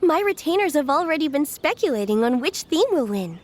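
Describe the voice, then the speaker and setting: A woman's voice: high-pitched, sing-song, a little fast, slight background noise. A woman playing a courteous young lady of good family in a video game, speaking formally. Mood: informative. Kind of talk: animated game voice acting. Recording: music playing behind the voice.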